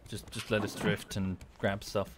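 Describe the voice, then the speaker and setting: A man's voice: fairly low pitch, level tone, normal speed, crowd noise. A man chatting casually and a little hesitantly as he streams a game. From United Kingdom. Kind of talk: casual gaming stream chat.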